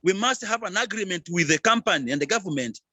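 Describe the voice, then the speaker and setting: A man speaks as an activist on a panel with a high-pitched voice. His delivery is passionate and earnest, and the sound is dry with no room echo. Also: expressive, fast talker, pushed and bright. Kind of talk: impassioned advocacy talk.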